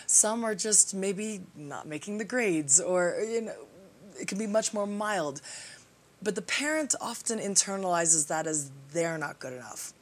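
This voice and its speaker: A woman hosting an interview, speaking in a friendly, brisk way. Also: mid-range voice, lively intonation, slight background noise. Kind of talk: conversational interview.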